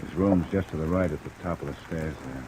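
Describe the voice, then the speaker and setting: A steady American police lieutenant speaks in a very low, plain-spoken, commanding way. The old radio crime drama is heavily covered by rain noise. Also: male voice, quick pace. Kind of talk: mid-century American radio drama acting.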